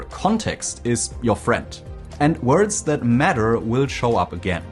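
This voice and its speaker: A man narrating a scripted language-learning video in a clear, explanatory way. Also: medium pitch.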